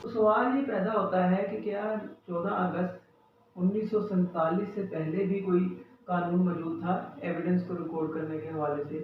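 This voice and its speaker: The recording is heavily echoing and picked up from a distance, with faint noise. A Pakistani man with a high-pitched voice delivers an instructional lecture. He speaks in a didactic way, restating key phrases as a teacher would. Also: steady tone, moderate pace, phone-quality sound. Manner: didactic, repeats key phrases in Urdu and English. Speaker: lecturer and advocate explaining law. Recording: very echoey room, distant mic, faint noise.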